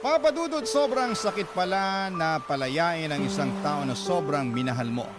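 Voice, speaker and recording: A man with a Filipino accent narrating letters as a radio host, dramatic and emotive, with measured pauses. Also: on the high side, expressive. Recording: loud music bed behind.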